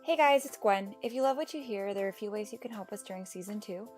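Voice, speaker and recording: Woman, average pitch, podcast host, casual, chatty, conversational delivery. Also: fast, darkish tone, faint music behind.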